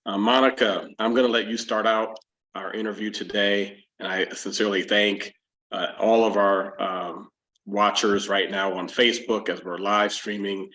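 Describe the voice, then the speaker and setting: A man with a low, flat voice hosts a live stream, reading out announcements and a welcome. He stops often on small fillers as he talks. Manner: halting, frequent "uh" fillers. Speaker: host, chamber board member.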